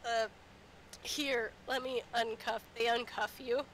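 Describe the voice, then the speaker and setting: A woman game master narrating a tabletop roleplaying game in a halting, improvised way. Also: on the high side, steady tone, a bit bright. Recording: noisy game stream.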